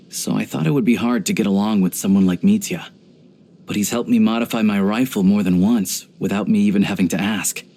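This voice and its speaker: Low-voiced, earnest young hunter. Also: male voice, mellow. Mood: easygoing, wry. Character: young male hunter turned rebel rifleman, earnest, pragmatic, guarded. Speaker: video game character. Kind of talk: video game character voice lines.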